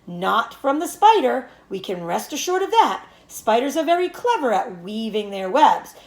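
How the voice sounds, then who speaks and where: A woman voicing a pompous, proud fairground loudspeaker announcer for a storybook, with booming, drawn-out formal proclamations. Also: sing-song, roomy sound. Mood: proud, admiring. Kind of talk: storybook read-aloud character voice.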